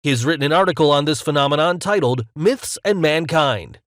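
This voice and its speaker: A man playing an excitable studio news presenter in an audio drama, theatrical and full of emphatic wonder. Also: on the high side, brisk, no echo, lightly acted. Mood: intrigued.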